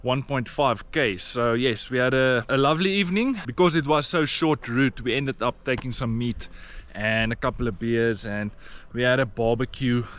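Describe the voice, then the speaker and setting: A man's voice: mid-range voice, moderate pace, hardly any pauses, a bit bright. A man from South Africa with an Afrikaans accent, narrating a casual hiking vlog outdoors, plain and encouraging, with frequent fillers. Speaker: vlogger. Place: outdoors on a rainforest trail.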